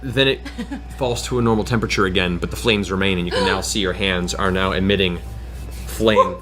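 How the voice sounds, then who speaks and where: A man's voice: deep voice, fairly even intonation, brisk, over music. A man running a tabletop fantasy game, describing action vividly with spoken sound effects. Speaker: game master.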